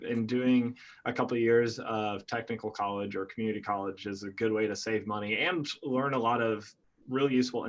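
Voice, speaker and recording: A man's voice: lowish voice, fairly bright tone. Chatty, enthusiastic male interview host. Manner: chatty, enthusiastic, many restarts and fillers. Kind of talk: casual video-call interview.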